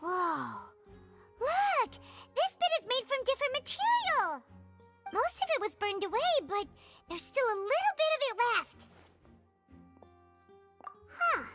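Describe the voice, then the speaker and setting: A woman voicing a small, excitable fairy companion girl in a video game, with a squeaky childlike voice. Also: measured pace, pauses often, heavily acted. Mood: excited, curious. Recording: music behind the voice.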